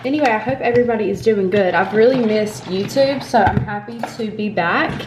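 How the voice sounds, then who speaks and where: A woman's voice: medium pitch, fairly quick, background noise. Chatty young woman with a cold. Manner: rambling, upbeat, filler-heavy. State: congested from a cold. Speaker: vlogger. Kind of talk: casual chatty vlog. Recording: roomy home echo.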